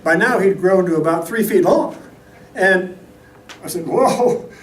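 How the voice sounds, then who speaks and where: A man's voice: high voice, a little slow. A man telling a folksy, humorous tall tale to a live audience, theatrically acting out the story as he goes. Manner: folksy, animated, acts out dialogue. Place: indoor meeting room at a live event. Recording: echoey room, distant mic, background noise.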